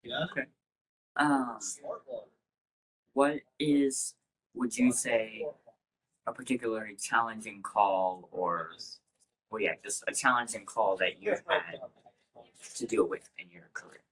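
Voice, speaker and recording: A man's voice: mid-range voice, takes it slowly, long pauses, a bit bright, low-quality recording. A man acting as interview host, putting prepared questions to a guest.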